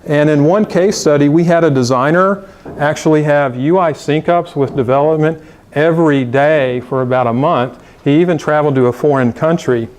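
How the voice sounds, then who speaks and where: A man's voice: a little high, normal speed, a little mellow. A man giving a conference talk on design to an audience, emphatic and urging, with some fillers. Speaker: conference speaker. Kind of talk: conference presentation. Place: conference room.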